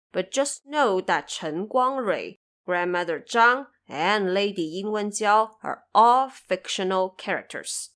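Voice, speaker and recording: A woman's voice: medium pitch, a bit bright. A woman hosting a podcast in scripted explanatory narration, articulate and pointedly emphatic. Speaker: podcast host.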